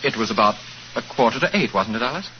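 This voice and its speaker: Actor as quick-talking, polite gentleman witness. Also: medium pitch, expressive, close to an everyday voice. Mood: earnest. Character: polite male witness, eager and deferential. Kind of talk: 1940s radio drama acting. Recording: muffled, noisy 1940s radio recording.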